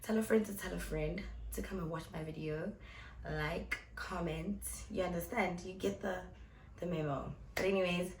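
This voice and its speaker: A young woman in her twenties talks straight to her viewers in a chatty, blunt, playful way. Her voice sits on the lower side, and the room around her rings with echo. A faint hum of background noise runs underneath. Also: fairly bright tone. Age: 20s. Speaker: vlogger and club host. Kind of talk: casual Q&A vlog to camera.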